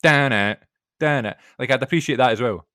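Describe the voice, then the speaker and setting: A man's voice: mid-range voice, a little fast, sharp tone, no room sound, studio quality. A young man co-hosting a podcast, chatting in casual, informal banter about things he is a fan of. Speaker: podcast co-host.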